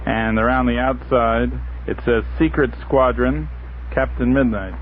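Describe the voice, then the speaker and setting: A man with a medium-pitched voice speaks casually on a radio panel, hesitantly feeling for words. The old recording sounds narrow and tinny, with noise in it. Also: steady tone, unhurried. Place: radio studio.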